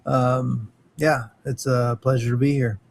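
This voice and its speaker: A man's voice: mid-range voice, flat delivery, a little slow, gravelly. A man co-hosting a casual talk show who tells crude jokes and stumbles over his words. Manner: crude jokey, stumbling with fillers. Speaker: co-host. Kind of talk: casual joking chat.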